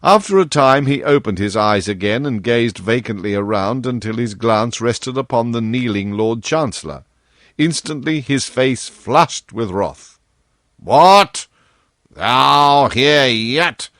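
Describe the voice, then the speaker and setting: Slow, measured male storyteller. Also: mid-range voice, animated, fairly bright tone, no room sound, studio quality. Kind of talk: scripted audiobook narration of a classic tale.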